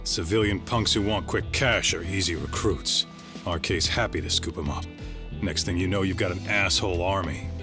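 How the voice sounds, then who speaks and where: A man playing a gruff, streetwise tough guy in a video game, speaking in a blunt, offhand, slangy way. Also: sits low, normal speed, music in the background. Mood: offhand, wry. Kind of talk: English video game dub acting.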